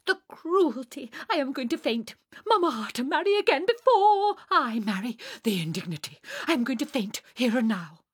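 A woman's voice: on the high side, lively intonation, slow pace, breathy edge, dry recording, studio quality, voice pushed up, heavily acted. A woman playing a theatrical, self-pitying young woman with grand artistic airs in a dramatised literary story reading. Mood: outraged, swooning. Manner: theatrical, swooning, emphatic delivery.

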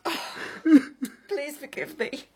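An actress playing a vain, possessive, volatile middle-aged mother with a husky, breathy voice in a stage drama. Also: high voice, takes it slowly. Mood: tender, pleading. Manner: theatrical, swings between hushed pleading and loud outbursts.

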